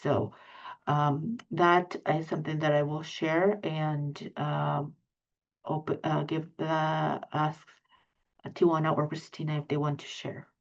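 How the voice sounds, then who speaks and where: A woman with a Mexican accent speaks in a low voice, earnest and halting, full of fillers and restarts. Her audio is dull and lo-fi, like a video call. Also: level tone, vocal fry. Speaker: panelist. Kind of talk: live panel discussion.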